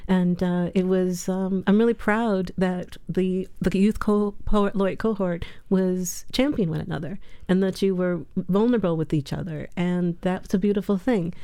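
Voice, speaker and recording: Brief: woman poet mentor, mellow smooth low voice, dry close sound, halting and reflective. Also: fairly even intonation, no echo. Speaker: city poet laureate, mentor. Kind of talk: casual interview talk.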